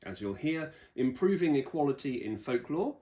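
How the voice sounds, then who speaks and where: A man's voice: mid-range voice, very animated, moderate pace, mellow. A man hosting a podcast, introducing and interviewing a guest. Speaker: podcast host, folklore researcher.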